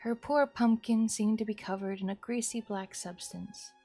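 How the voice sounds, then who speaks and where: A woman's voice: a little high, fairly even intonation, normal speed, dark tone. Woman narrating a visual novel over music. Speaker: streamer. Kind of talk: expressive read-aloud narration of a horror visual novel. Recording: music behind the voice.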